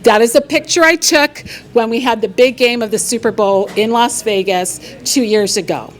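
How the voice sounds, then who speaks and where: A middle-aged woman from Hawaii speaks from the stage at a live business event, her voice sitting a little high. She is upbeat and keeps the crowd involved with questions. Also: moderate pace. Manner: upbeat, engaging the audience with questions. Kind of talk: live presentation to an audience.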